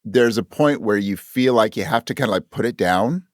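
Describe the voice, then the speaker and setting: A man being interviewed about his writing, talking in a thoughtful, halting way and voicing his own thoughts as quotes. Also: on the low side, no echo, studio quality. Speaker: interview guest, audio drama writer.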